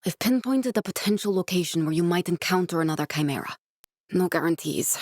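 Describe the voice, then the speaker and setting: A woman's voice: on the low side, expressive, fairly quick, darkish tone, no echo, studio quality. A young woman actor playing a determined, guarded scientist in a video game, speaking in an earnest, serious way. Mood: serious, urgent. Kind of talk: dramatic video game dialogue.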